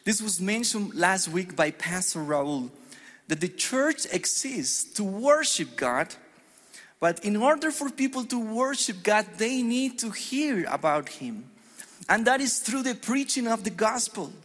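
A middle-aged man from Colombia with a Colombian Spanish accent, preaching a sermon in an earnest, measured way. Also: high voice, big pitch swings, a bit bright. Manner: earnest, measured preaching with deliberate pauses on scripture. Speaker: pastor. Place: church hall. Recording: long hall reverb.

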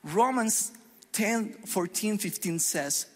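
A middle-aged man from Colombia with a Colombian Spanish accent, preaching a sermon in an earnest, measured way. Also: sits high, lively intonation. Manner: earnest, measured preaching with deliberate pauses on scripture. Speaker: pastor. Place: church hall. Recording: long hall reverb.

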